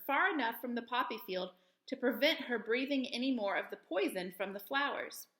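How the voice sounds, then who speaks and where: A woman reads a children's story aloud with expressive, animated delivery. Her voice is fairly high and bright, and the room sounds echoey.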